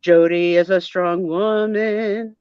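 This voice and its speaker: A woman's voice: fairly low pitch, steady tone, slow, clean tone, no echo, lo-fi sound. A woman voicing an anxious, clingy, girlish grown woman in a comic skit, plaintive and emphatic, repeating herself. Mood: psyching herself up.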